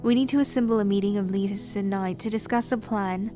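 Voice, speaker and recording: An actress playing a reserved young woman who speaks in a calm, measured, formal way. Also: mid-range voice, music in the background, natural voice. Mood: regal, concerned. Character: reserved young woman, later a composed queen. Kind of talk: audio drama acting.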